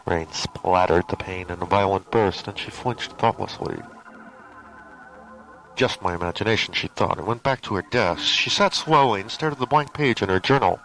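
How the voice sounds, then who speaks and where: A man narrating scripted fiction as a storyteller. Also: fairly bright tone. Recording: noisy tape with low rumble.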